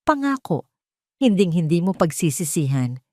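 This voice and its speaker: An eager young Filipino husband , voiced by a woman narrator coaxes with promises. The delivery swings in pitch and is dryly recorded, in audio drama storytelling style. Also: big pitch swings, normal speed, a little mellow, dry recording, clean studio sound. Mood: eager persuasion.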